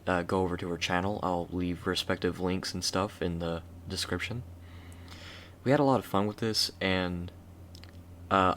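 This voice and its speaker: A man with a low voice gives a casual creator's outro. He is informal and friendly, feeling his way through sentences. The recording is clearly noisy. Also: fairly bright tone. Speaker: video creator, voice actor.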